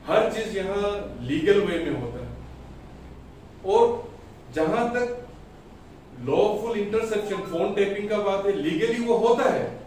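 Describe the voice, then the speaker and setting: A man delivers a political press statement as a party spokesperson, emphatically and deliberately in short phrases. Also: sits high, a little mellow. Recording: echoey room, distant mic, faint noise.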